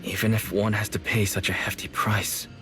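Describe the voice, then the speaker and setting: Man playing a troubled, low-voiced young student. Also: level tone, normal speed, a bit bright, soft background music, natural voice. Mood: disbelieving, pained. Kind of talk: dramatic game cutscene acting.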